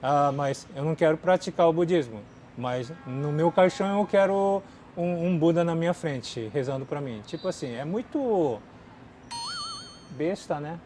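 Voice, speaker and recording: A Japanese-born man, a non-native speaker, chats loosely with a fairly high, mellow voice. He fills his talk with little fillers and swings between bright bursts and low mutters. Faint outdoor noise sits under him. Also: normal speed. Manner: chatty, many fillers, drifts between lively and mumbling. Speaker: channel host answering viewer questions. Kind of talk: casual chat. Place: outdoors in a city.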